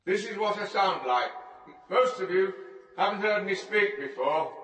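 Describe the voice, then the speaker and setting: An actor playing a shy, lonely man of few words, with a halting, earnest, plain-spoken delivery in a radio drama. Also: high-pitched, animated, fairly bright tone, reverberant, far from the mic, poor audio.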